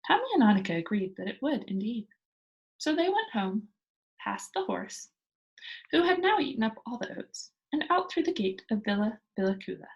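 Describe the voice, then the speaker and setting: A woman narrates a children's book aloud with plenty of expression. Her voice is high and somewhat breathy or husky, and it rises and falls widely through the sentences. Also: big pitch swings, normal speed, highs cut off. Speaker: storybook reader.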